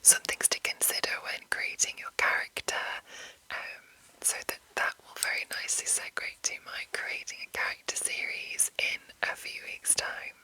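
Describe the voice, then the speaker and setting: A woman whispering an informal, rambling ASMR explainer as the host, in a breathy, unvoiced voice. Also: moderate pace, pushed and bright, rough recording. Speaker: host of ASMR video series on roleplaying games.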